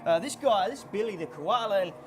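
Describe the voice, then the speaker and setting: Male actor as indignant C-list show host, comedy, lively swooping delivery. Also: high-pitched, brisk, background noise. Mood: flustered. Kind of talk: scripted audio comedy acting.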